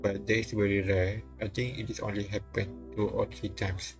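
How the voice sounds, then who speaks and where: Guy streamer reading a visual novel out loud, kinda monotone, stumbles and rereads, game music behind him. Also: fairly low pitch. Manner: halting, repeats and rereads lines. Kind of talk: live read-aloud translation of game dialogue and narration.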